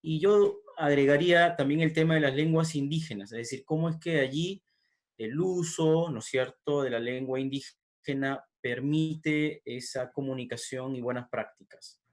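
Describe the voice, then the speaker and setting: A man's voice: fairly high pitch, steady tone, normal speed. A man moderating a virtual panel on a video call, courteously thanking speakers and handing over the floor.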